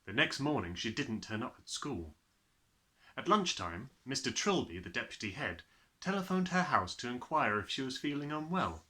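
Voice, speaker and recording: A man narrates a children's book as an audiobook reader. His voice is bright and forward, with very animated pitch movement that gives the telling an expressive shape. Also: moderate pace.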